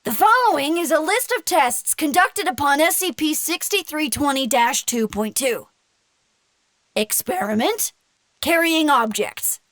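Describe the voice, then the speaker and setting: A woman's voice: high voice, animated, measured pace, fairly bright tone, dry recording. A woman playing a childlike, proud agent who reads a mock-official report in an audio drama.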